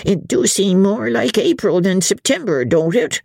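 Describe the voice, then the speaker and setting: A man's voice: high-pitched, lively intonation, unhurried, creaky, darkish tone, breathy edge, no echo, studio quality, voice pushed up, exaggerated voice. A man putting on a gloomy old villager. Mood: doleful. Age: 70s. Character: doleful old male villager, pious and gloomy.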